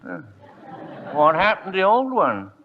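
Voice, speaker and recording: A man's voice: sits high, huge pitch swings, unhurried, slight background noise, full character voice. A man playing a folksy, shameless rural American neighbor in an old-time radio comedy, drawling and hemming and hawing. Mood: casually cadging. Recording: dull, lo-fi old radio recording.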